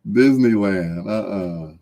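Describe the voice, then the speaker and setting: Slow, mellow middle-aged American man, low-pitched. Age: middle-aged or older. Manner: jovial, folksy, drawn-out repeated phrases. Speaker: livestream host. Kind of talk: casual livestream chat with viewers.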